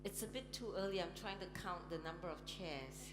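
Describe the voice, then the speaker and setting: A woman's voice: lowish voice, fairly quick. Woman moderating a panel in a hall. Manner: chatty, laughs often, many ums. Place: large conference hall on stage. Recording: reverberant hall, music playing.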